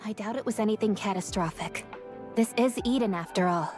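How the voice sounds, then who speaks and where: A level-headed young woman soldier, played by an actress in a video game, speaking evenly and calmly. Game music plays behind her. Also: mid-range voice, fairly quick, natural voice. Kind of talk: game voice acting.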